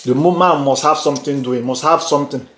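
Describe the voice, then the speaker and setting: A man's voice: mid-range voice, fairly quick, fairly bright tone. A man with a Nigerian accent co-hosting a mukbang vlog, talking casually and repeating phrases for emphasis. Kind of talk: casual chat while eating. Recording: roomy echo, faint noise, dish clinks. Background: clicks, cutlery and dishes.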